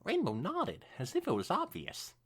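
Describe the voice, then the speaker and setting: A woman reading fan fiction aloud as a podcast co-host, narrating in an animated way with casual asides. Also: fairly low pitch, moderate pace, breathy edge.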